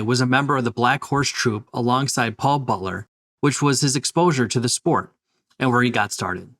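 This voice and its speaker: Male podcast host, medium pitch. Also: fairly bright tone. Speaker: podcast host interviewing a guest. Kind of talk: scripted introduction, then conversational interview.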